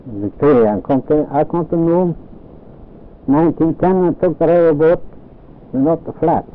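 A man in his seventies, recalling his early years in a halting, plain-spoken way during an oral history interview. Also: a little high. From Norway. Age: 70s. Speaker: interviewee, old-time pioneer. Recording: tinny old tape with faint hiss.

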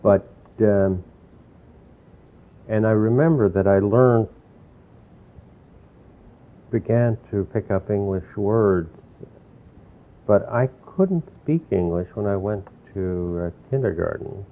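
A man's voice: lowish voice, slow, pauses often. An older man from New York, recalling his childhood in a rambling, reflective way during an oral history interview. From New York, USA. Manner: rambling, reflective storytelling with restarts. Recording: tinny phone-like lo-fi recording with faint hiss.

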